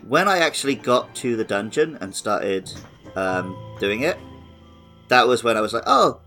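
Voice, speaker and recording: A man's voice: medium pitch, big pitch swings, moderate pace, over music. A man chatting casually about a game as a podcast co-host, with dry, wry humour. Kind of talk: casual game discussion chat.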